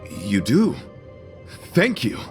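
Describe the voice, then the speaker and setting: An actor voicing a noble, courtly knight devoted to beauty, speaking formal, florid lines earnestly in a theatrical video game style. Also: male voice, medium pitch, wildly swooping pitch, very slow, a little mellow, faint music behind, heavily acted. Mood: courteous gratitude.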